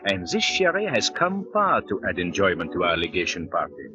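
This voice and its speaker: An actor playing a genial, boastful Latin American party host in a 1940s radio commercial. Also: male voice, low voice, wildly swooping pitch, normal speed. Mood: suave, proud. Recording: dull 1940s radio recording, music behind.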